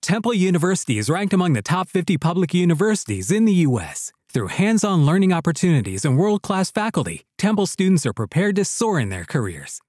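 A man's voice: high-pitched, sing-song, brisk, few pauses, a little mellow, no echo, studio quality. A man reading a scripted commercial in an upbeat, promotional way. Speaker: ad announcer.

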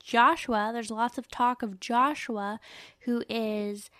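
A woman's voice: a little high, fairly even intonation, takes it slowly, a bit bright, dry recording, clean studio sound. A woman hosting a podcast, chatting casually and comedically about a book in a playful, emphatic, sweary way. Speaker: podcast host.

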